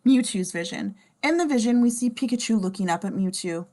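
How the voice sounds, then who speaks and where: A woman's voice: fairly high pitch, expressive, brisk, warm tone. A woman narrating scene descriptions from a script at a table reading. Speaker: stage directions narrator.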